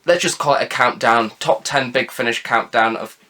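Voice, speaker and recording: A man's voice: medium pitch, moderate pace, pushed and bright, slight background noise. A young man hosts his own review channel, talking through a yearly countdown in a chatty, upbeat way that leans on stock phrases. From United Kingdom. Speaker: audio drama reviewer, channel host. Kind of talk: scripted video review monologue.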